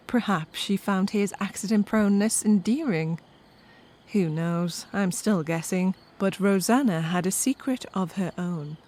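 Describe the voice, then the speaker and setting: A woman's voice: mid-range voice, darkish tone. A woman narrating a scripted comic story with wry, conversational asides to the listener.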